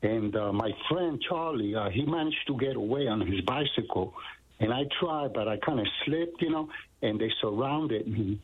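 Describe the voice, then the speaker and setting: A man's voice: mid-range voice, expressive, moderate pace. A man in his sixties from Puerto Rico, calling in to a radio talk show and telling a long story in an earnest, rambling way with many fillers. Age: 60s. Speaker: caller. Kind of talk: phone-in call. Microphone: phone line. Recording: tinny phone line, husky.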